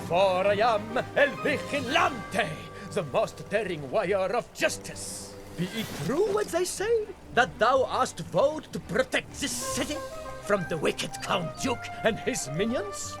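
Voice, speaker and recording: Game role: bumbling masked young hero, grandiose boaster, high-pitched and extremely animated. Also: male voice, slow, over music, full character voice. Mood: grandiose, boastful. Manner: grandiose, mock-archaic, stammering when flustered. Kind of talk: cartoonish swashbuckling game acting.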